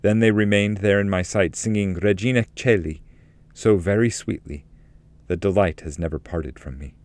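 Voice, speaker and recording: A man with a fairly low voice narrates an epic poem. He is solemn and measured, phrasing each line deliberately. The recording is very dry, with no room sound. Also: darkish tone, no room sound. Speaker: narrator, poetry reader. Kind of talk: solemn scripted reading of epic poetry.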